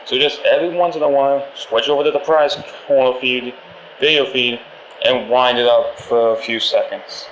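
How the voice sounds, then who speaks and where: A man's voice: mid-range voice, fairly even intonation, normal speed, fairly bright tone. A man playing a friendly, nervous pizzeria employee in a game, leaving a hesitant, awkwardly upbeat training message over the phone. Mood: uneasy, reassuring. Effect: telephone voicemail. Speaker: company trainer for night guards. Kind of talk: rambling recorded phone message, game voice acting. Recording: muffled, roomy phone audio with faint noise.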